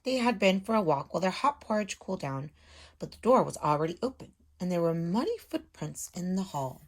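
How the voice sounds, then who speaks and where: A woman's voice: fairly low pitch, very animated, fairly quick, darkish tone. A woman in her thirties speaking as a teacher, explaining step by step and reading a storybook aloud to children at home. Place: small room at home.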